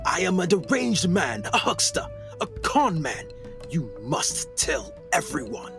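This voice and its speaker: Tormented jungle doctor, radio drama; pleading, halting delivery, very animated pitch, clear music bed. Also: male voice, heavily acted. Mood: desperate confession. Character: tormented, desperate jungle explorer doctor, confessing. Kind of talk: radio play acting. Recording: music under the voice.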